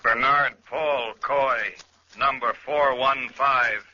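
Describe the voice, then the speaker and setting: An actor playing a stern prison official calling a roll formally in a 1940s radio drama. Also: male voice, mid-range voice, steady tone, close to an everyday voice. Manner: clipped, formal, deliberate calling-out. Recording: muffled old radio recording.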